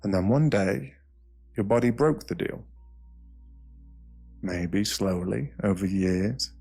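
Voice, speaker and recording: A British man with a deep, low voice reads a guided meditation. He speaks slowly and softly in short, soothing phrases, leaving space between them. Music plays behind him. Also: creaky, husky. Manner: slow, hushed, soothing delivery with short phrases and pauses. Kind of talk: ASMR guided meditation, scripted narration.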